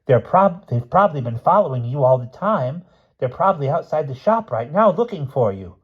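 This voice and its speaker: A man voicing a small, mild, absent-minded gentleman in a storybook, with polite, measured, old-fashioned phrasing. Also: on the high side, very animated, slightly rough, muffled recording, pitched above the performer's own voice.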